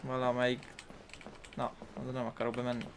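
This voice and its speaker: A man's voice: medium pitch, completely flat delivery, fast, background noise. A man from Hungary giving casual let's-play commentary as a gaming host, with informal mumbled asides.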